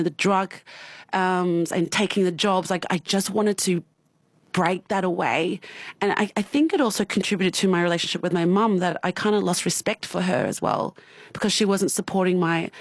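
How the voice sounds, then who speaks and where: A woman's voice: lowish voice, no room sound. Australian woman, candid and laughing. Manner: candid, laughs often, many restarts. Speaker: interview guest. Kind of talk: personal interview.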